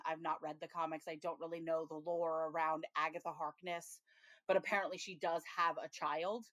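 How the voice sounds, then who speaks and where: A woman's voice: lowish voice, a bit bright, dry recording. A woman co-hosting a podcast, chatting casually about TV episodes, laughing often and acting out her own reactions. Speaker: podcast co-host. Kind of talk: casual TV review chat.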